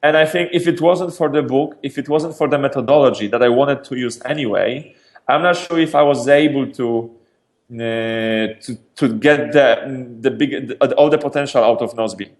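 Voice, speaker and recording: A man from Poland, a startup founder answering interview questions in a lively, energetic way, often restarting and repeating words. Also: mid-range voice, normal speed. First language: Polish.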